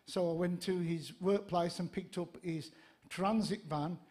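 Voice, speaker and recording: Geordie-area north-east english guy preaching, high voice, tells funny stories, repeats stuff for emphasis. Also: measured pace. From Gateshead, north-east England. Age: 50s. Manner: animated, conversational storytelling with repetition for emphasis. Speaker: pastor. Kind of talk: sermon with humorous anecdotes. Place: church stage, indoors. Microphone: handheld microphone.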